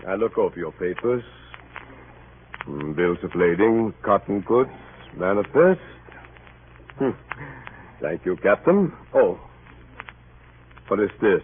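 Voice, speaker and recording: An actor playing a sardonic Dutch colonial port inspector, with a Dutch accent and deliberate, mock-polite foreign phrasing, in an old-time radio drama. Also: male voice, lowish voice, big pitch swings. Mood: officious, inquisitive. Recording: scratchy, tinny old radio broadcast.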